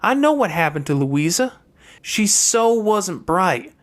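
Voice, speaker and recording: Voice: mid-range voice, very animated, slow pace, pitched above the performer's own voice. A reader voicing a calculating young girl from a wealthy family in an audiobook, airy and pointed with a haughty disdain. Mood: cool, pointed.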